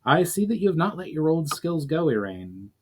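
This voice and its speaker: A man playing a worn-out elven leader from a fantasy storybook. His voice croaks with weariness, yet he stays proud and commanding. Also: on the high side, sing-song, normal speed, slight background noise, pitched above the performer's own voice. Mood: weary. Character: wounded, weary male elf leader, warm and proud.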